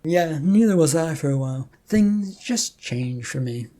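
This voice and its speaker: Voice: mid-range voice, expressive, a little slow, mellow, pitched above the performer's own voice, lightly acted. A storyteller voicing a chatty, cheerful old man who breezily claims to be a prophet in an audiobook. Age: older. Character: old George, slow cheerful eccentric self-proclaimed prophet.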